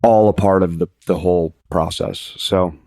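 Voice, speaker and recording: A man guesting on a podcast, talking casually and reflectively, often halting as he searches for his words. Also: sits low, fairly even intonation, creaky, darkish tone, no echo, studio quality.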